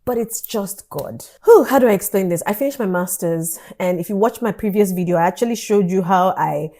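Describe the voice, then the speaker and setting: A Nigerian woman in her thirties tells her story straight to her audience. Her voice is mellow and warm at a middle pitch, and she talks in a lively, chatty way, slipping into pidgin now and then. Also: fairly quick. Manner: animated, pidgin asides, acts out her past self with sudden high squeals. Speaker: YouTuber telling a personal story. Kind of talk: casual storytime chat.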